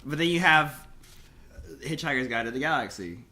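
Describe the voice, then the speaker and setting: A man co-hosting a podcast in casual discussion, explaining things in an animated, halting, rambling way. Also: mid-range voice. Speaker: podcast co-host.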